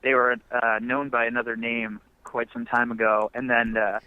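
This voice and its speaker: A man's voice: mid-range voice, little pitch movement, moderate pace, smooth, dry recording. A young man musician talking casually in a phone interview, laid-back and rambling with many fillers. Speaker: musician guest. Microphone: phone line. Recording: muffled phone line.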